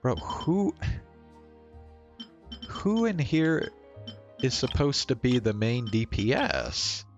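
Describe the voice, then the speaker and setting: A man's voice: medium pitch, sing-song, slow, pauses often. A man streaming a game with casual, mildly exasperated commentary, swearing freely. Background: video game music.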